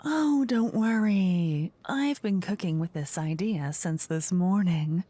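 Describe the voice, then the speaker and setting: A woman playing a playful, haughty, easily bored queen in a fantasy ASMR roleplay, soft-spoken and teasing. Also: fairly low pitch, takes it slowly, no room sound. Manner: theatrical, teasing, soft-spoken with whispery phrases. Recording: close dry ASMR microphone.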